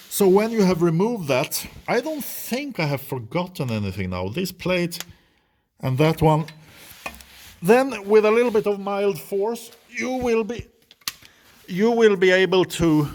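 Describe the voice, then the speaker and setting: A man with a Swedish accent, a non-native English speaker, walking through an engine repair in a halting, think-aloud way. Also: medium pitch, darkish tone. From Sweden. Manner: slow, halting, thinking aloud while working. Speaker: DIY mechanic. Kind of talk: hands-on repair tutorial.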